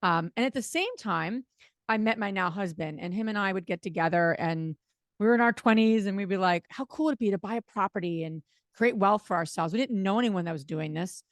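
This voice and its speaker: A middle-aged woman from New Jersey with a slight Jersey accent, talking fast and chatty as an interview guest. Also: mid-range voice, no room sound, clean studio sound. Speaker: guest, real estate investor. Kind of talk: casual interview talk.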